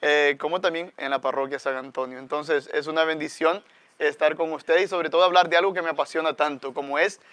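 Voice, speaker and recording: A man's voice: a little high, steady tone, brisk, a bit bright, smooth, dry recording. A man with a Salvadoran accent, a guest priest teaching conversationally and reflectively, often adding questioning tags.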